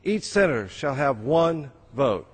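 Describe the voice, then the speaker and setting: Rough-voiced man reading in echoing chamber. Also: medium pitch, very animated, a little slow. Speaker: member of Congress. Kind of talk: formal reading aloud of a legal document. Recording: reverberant large legislative chamber.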